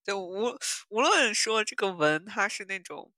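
A bright, forceful-sounding woman recorded very dry. She chats casually as a podcast co-host, at an average pitch for a woman. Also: lively intonation, measured pace, dry recording. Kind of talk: casual chat about audio dramas.

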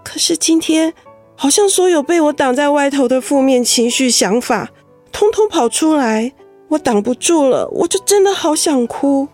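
An actress in a Mandarin radio drama playing a vulnerable young wife, earnest, emotional and confiding. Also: female voice, sits high, animated, moderate pace, darkish tone, faint music behind. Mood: anxious, tearful. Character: vulnerable young wife holding back tears after illness.

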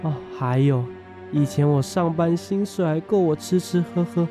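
A man's voice: a little high, moderate pace, over music, close to an everyday voice. A Taiwanese man acting a whiny, grumbling young military conscript in a radio drama.

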